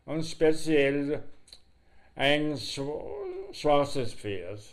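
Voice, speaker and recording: An actor playing a reflective man recalling a friend in a radio play, speaking in a halting, deliberate way. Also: a little high.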